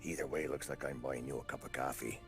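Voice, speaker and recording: A man's voice: rumbling low, steady tone, fast talker, over music. A man playing a world-weary, shrewd police detective in a video game, with an American accent and a sardonic, slangy, probing manner. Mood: pressing, knowing. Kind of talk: cinematic video game acting.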